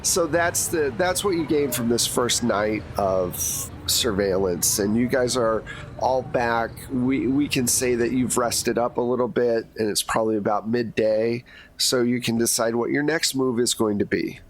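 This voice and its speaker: A man's voice: mid-range voice, measured pace, slight background noise. Easygoing man running a tabletop game. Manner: relaxed, descriptive, answers players' questions. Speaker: game master. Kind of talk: narrating a tabletop horror game.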